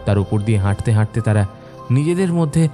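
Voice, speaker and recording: A man narrating a scripted horror story in a measured, suspenseful, dramatic manner. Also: lowish voice, expressive. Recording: soft music bed behind narration.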